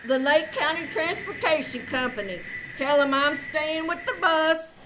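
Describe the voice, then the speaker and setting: A woman's voice: high voice, unhurried. A woman playing an earnest, polite, folksy male bus driver in a 1940s-style radio comedy. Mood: earnest, helpful. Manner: folksy, apologetic. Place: small theater stage. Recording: live stage performance with background noise.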